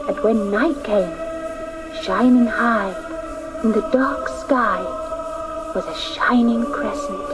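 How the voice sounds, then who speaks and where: An earnest young girl tells an old legend in a radio play. Her voice is fairly high, solemn and lyrical, with music playing behind it. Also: low-quality recording. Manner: solemn, lyrical, emphatic telling. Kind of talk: radio drama storytelling.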